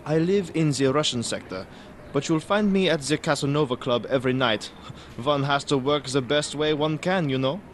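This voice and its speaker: An actor playing an evasive, courteous Viennese baron in a radio drama, with an Austrian German accent and a hesitant, ingratiating manner. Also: male voice, on the high side, moderate pace, slight background noise, lightly acted. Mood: smoothly courteous.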